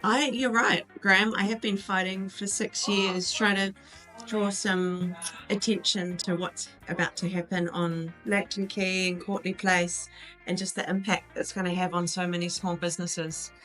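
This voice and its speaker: A woman, a shop owner, speaking earnestly and in a measured way about her campaign. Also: on the low side, little pitch movement, a bit bright, soft background music, rough recording.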